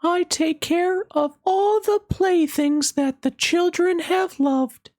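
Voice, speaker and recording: A gentle nursery fairy from a children's storybook. The voice floats very high, far above ordinary talk. She speaks slowly and soothingly, with a caring, kindly manner. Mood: gentle, reassuring.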